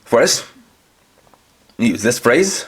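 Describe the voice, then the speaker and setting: A man's voice: mid-range voice, big pitch swings, takes it slowly, breathy edge, low-quality recording. A man reviewing toys, walking through each feature in a methodical, step-by-step way. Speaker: toy reviewer. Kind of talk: toy review demonstration.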